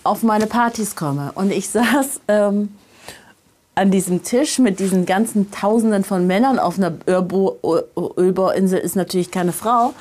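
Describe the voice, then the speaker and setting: Animated woman chatting as interview guest. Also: mid-range voice, normal speed. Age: middle-aged. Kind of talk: casual interview chat, personal anecdotes.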